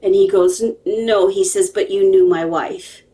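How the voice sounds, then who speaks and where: Chatty lady psychic telling a story, mid pitch, rambly and emphatic, keeps checking ur listening, bit far from the mic. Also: steady tone, measured pace, warm tone. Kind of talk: casual, animated storytelling to camera.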